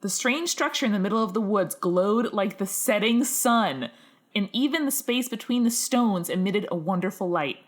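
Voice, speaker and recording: A woman's voice: medium pitch, lively intonation, normal speed. A woman co-hosting a podcast, reading a listener's story aloud expressively and chatting casually with informal asides. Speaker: podcast co-host.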